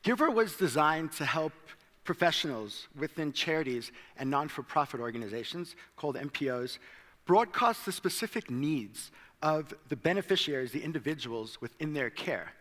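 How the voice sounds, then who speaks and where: A man's voice: fairly high pitch, animated, normal speed, fairly bright tone, big-room sound. A South African-born man with an American accent giving a conference presentation, mixing light self-deprecating humor into the talk. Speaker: conference speaker. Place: large hall.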